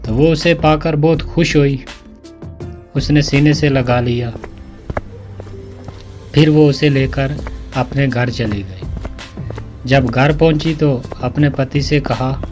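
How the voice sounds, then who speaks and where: A man's voice: medium pitch, fairly even intonation, slow pace, long pauses, a little mellow. A man narrating a scripted moral tale as a storyteller. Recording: music behind the voice.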